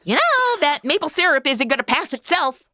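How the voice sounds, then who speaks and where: A woman's voice: high voice, very animated, normal speed, bright, forceful tone, a little hiss or hum, exaggerated voice. A woman playing a sassy, food-obsessed modern young woman in a comedy audio drama, cracking snarky wisecracks. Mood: demanding.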